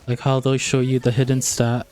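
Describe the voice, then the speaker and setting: A man's voice: medium pitch, monotone, normal speed, a little mellow. A man chatting casually as a gaming livestreamer, peppering his talk with "like". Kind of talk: casual gaming stream chat. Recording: music playing behind. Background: background music.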